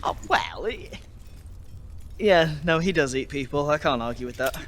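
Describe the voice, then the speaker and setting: A man's voice: fairly high pitch, expressive, normal speed, fairly bright tone. A man playing a fussy, talkative storytelling companion in a video game, nervous and prone to stammering explanations. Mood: worried, sheepish. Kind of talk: video game character acting.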